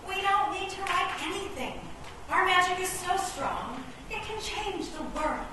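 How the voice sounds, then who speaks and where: An actress playing an excitable, dreamy visionary chess piece in a theatrical radio play. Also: female voice, very high voice, unhurried. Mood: excited. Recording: echoey, distant, noisy stage recording.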